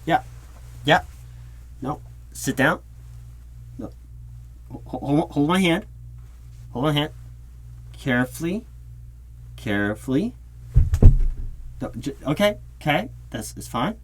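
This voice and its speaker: A man's voice: fairly high pitch, full character voice. A man playing a flustered, officious recruiting manager in a one-sided job interview roleplay, stammering and repeating himself. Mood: businesslike, awkward, then concerned, flustered. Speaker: roleplay performer.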